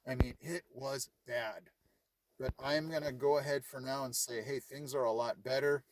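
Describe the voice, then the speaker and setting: A man's voice: mid-range voice, fairly even intonation, moderate pace, no echo, rough recording. A man chatting casually to his viewers, retelling conversations with lots of fillers. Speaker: vlogger. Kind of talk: casual chat to camera.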